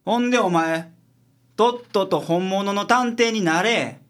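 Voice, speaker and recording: An actor playing a gruff, quick-witted detective boss in a radio drama, blunt and rough-spoken with a Kansai accent. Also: male voice, high-pitched, fairly bright tone. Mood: gruff, scolding.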